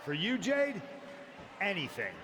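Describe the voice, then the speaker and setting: A man's voice: high voice, wildly swooping pitch, measured pace, crowd noise, full character voice. A man playing a scheming, commanding leader of a wrestling faction, delivering declamatory scripted promos in a video game. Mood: insistent. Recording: noisy arena background.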